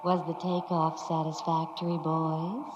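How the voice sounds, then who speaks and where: A woman's voice: low-pitched, little pitch movement, measured pace. An actress playing a motherly, besotted spaceship robot in a 1950s science fiction radio drama, courteous and doting. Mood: serene, attentive. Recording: dull old radio recording with noise.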